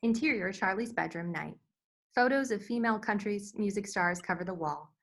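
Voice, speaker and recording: Mid-pitched woman reading stage directions. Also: lively intonation, fairly quick, fairly bright tone. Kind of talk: scripted narration for screenplay table read.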